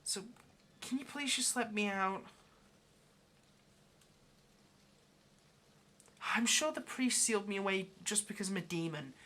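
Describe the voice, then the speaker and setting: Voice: medium pitch, lively intonation, a little fast, bright, forceful tone, slight background noise. A performer playing a lonely, pleading, affectionate caged demon in an intimate ASMR roleplay, speaking in a hesitant, coaxing way. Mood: pleading, evasive. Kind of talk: ASMR roleplay, intimate one-sided conversation.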